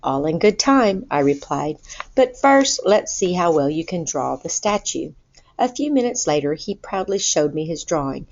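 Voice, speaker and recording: Woman, storybook narration, expressive and deliberate, lower pitch, dull lo-fi recording. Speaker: storybook reader. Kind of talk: read-aloud children's story narration. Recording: boxy roomy sound, dull lo-fi.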